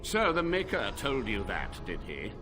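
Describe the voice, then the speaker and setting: An actor playing an aged, scheming male blood mage scholar who speaks in a cold, arrogant, measured way. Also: on the low side, wildly swooping pitch, background noise.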